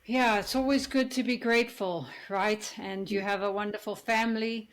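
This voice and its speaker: A woman's voice: mid-range voice, steady tone, normal speed. A woman hosting a podcast interview, asking questions in an upbeat, friendly way. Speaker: podcast host. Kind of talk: interview host.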